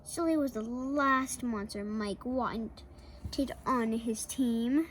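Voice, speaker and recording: Lady reading a kids book out loud, high pitched, chatty little asides to the listener. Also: very slow, a little hiss or hum. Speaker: children's book reader. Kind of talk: read-aloud storytelling for kids.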